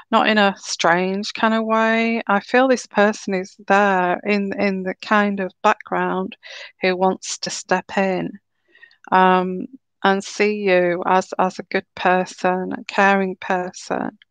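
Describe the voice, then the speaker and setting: A woman giving a live tarot reading in a slow, soothing, reassuring way, her throat a little sore and croaky. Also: mid-range voice, level tone, no room sound. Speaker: tarot reader.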